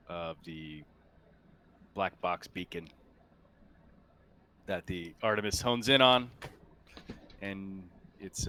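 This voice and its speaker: A man narrating a tabletop game as game master, casually and haltingly, improvising as he goes. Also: sits low, pushed and bright, clear, resonant tone.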